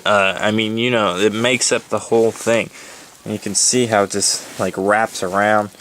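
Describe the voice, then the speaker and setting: A man giving a casual, unscripted walkthrough in a halting, informal way, as if thinking aloud, indoors on a handheld camera. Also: fairly low pitch, steady tone, fairly bright tone, rough recording. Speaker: hiker presenting his gear. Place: indoors in a room at home. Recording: background hiss, handling clicks and crinkling.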